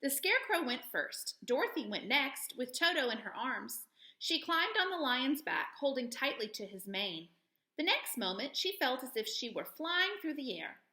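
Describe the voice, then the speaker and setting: A woman reading a children's story aloud as a storyteller, with expressive delivery. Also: high voice, moderate pace. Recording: echoey room.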